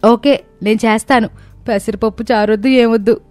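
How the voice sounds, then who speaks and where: A woman's voice: fairly high pitch, steady tone, moderate pace, a little mellow, no echo, soft background music. A woman reading the lines of a family story, voicing the daughters-in-law and the mother-in-law in turn. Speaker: storyteller voicing all characters.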